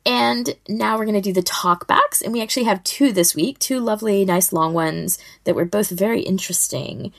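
A woman's voice: medium pitch, moderate pace, vocal fry, few pauses, fairly bright tone. A woman hosting a podcast in casual chat, talking informally and conversationally. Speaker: podcast host.

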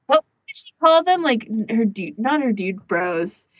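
A woman's voice: fairly high pitch, very animated, a little slow, a little hiss or hum, rough recording. A woman co-hosting a podcast, chatting casually and peppering her talk with "like". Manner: chatty, frequent "like" as filler. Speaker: podcast host. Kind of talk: casual chat among fan podcasters.

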